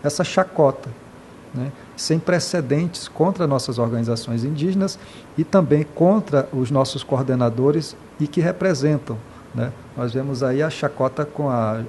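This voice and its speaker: An earnest man from Brazil speaks in an interview at a mid pitch. He talks with passion, often stops to restart, and keeps adding tag questions. A faint noise runs under the recording. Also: normal speed, a little mellow. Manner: impassioned, many hesitations and repeated "né".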